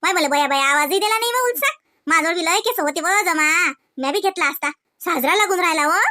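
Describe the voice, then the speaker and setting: A woman voicing gossipy, excitable village housewives in a comedy cartoon, exclaiming and teasing in rustic Varhadi Marathi. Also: extremely high pitch, fast, exaggerated voice. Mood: curious, teasing. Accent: Varhadi Marathi from Vidarbha. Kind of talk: colloquial comic cartoon dialogue.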